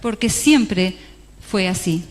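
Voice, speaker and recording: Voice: medium pitch, animated, slow pace. An interpreter renders a religious talk phrase by phrase, with small hesitations along the way. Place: large church hall. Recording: long hall reverb.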